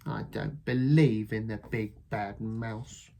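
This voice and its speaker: A woman voicing a small, brave, curious young female monster in a children's storybook, with a high excited voice. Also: slow, creaky, a little hiss or hum, full character voice. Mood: defiant.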